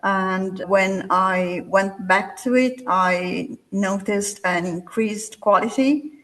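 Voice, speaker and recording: A woman, a literary translator answering interview questions in a slow, measured way with pauses. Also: mid-range voice, fairly bright tone.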